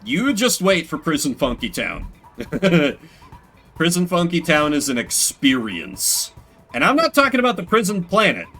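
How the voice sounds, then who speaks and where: Guy streamer rambling casually to chat, bright forceful voice, faint music behind him. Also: fairly high pitch, measured pace. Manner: rambling, animated retelling with acted-out quotes. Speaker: game streamer. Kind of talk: casual livestream chat with viewers.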